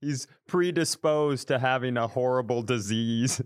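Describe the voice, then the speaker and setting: A man co-hosting a podcast, joking in a wry, quick way during casual banter. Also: medium pitch, smooth. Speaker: podcast co-host.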